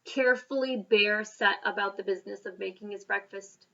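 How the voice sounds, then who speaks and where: Bright-voiced woman reading a storybook aloud. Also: mid-range voice, vocal fry, distant mic, dull audio. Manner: dramatic emphasis and pauses. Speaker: elementary school storybook reader. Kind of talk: expressive children's read-aloud with asides.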